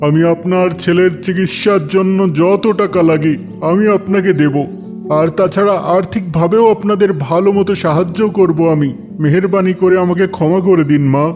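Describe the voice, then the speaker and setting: Man playing a higher-voiced dignified cartoon caliph. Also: fairly even intonation, normal speed, natural voice. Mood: contrite, earnest. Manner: formal, earnest. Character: dignified, humble, righteous caliph. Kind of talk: animated moral drama acting. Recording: faint music behind the voice.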